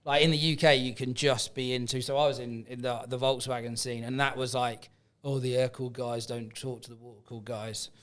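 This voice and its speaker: A man's voice: mid-range voice, little pitch movement, a little fast, few pauses, a bit bright. A man in casual podcast chat, joking and teasing the others. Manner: joking, teasing, laughs often.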